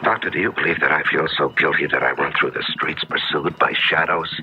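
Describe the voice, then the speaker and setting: An actor playing a desperate, fear-haunted journalist in a 1970s radio drama, agitated, halting and pleading. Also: male voice, very deep voice, steady tone. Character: desperate, fear-haunted male journalist under a death curse. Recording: dull, noisy 1970s radio broadcast.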